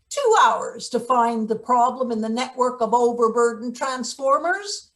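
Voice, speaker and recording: A woman's voice: fairly high pitch, a bit bright, rough recording. A woman playing a sardonic, hard older forewoman in a live play reading, with a dry, wordy, sarcastic manner. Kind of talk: live table read of a satirical play.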